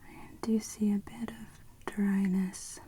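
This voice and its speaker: A woman whispers slow, mellow instructions as an eye doctor in an ASMR roleplay. She speaks very unhurriedly, close to a sensitive microphone, with a faint hiss of room noise behind her. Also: mid-range voice, little pitch movement. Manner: soft whispering with gentle instructions. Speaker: optometrist in a roleplay.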